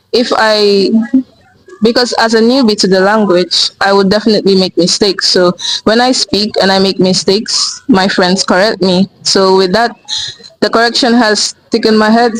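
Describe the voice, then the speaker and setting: A teenage high school student from Nigeria answering interview questions, starting haltingly and then explaining steadily. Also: a bit bright, no room sound, low-quality recording. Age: teens.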